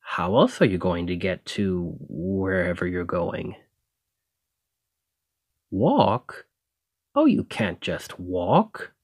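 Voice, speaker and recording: A man acts out a talkative carriage driver in an ASMR roleplay. He speaks slowly and fairly low, with big swings in pitch. His manner is casual, rambling and a little awkward, with a sly, probing edge. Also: big pitch swings, a little mellow, breathy edge, dry recording, clean studio sound, exaggerated voice. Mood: coaxing, sheepish. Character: chatty, cheeky stand-in carriage driver, slyly probing. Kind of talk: ASMR roleplay acting, addressing the listener.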